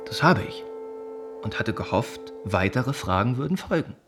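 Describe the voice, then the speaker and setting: An actor playing an earnest, gently reproving young gentleman in a radio drama, speaking in thoughtful, formal phrases. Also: medium pitch, animated, normal speed, music in the background, lightly acted.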